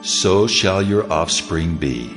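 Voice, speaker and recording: An extremely low male voice of God, speaking with solemn authority in a scripture drama. It is measured and commanding, with soft music underneath. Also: expressive, full character voice. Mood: reassuring, solemn.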